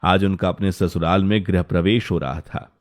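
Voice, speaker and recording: A man's voice: low voice, fairly even intonation, normal speed. A man narrating a scripted family story as a storyteller.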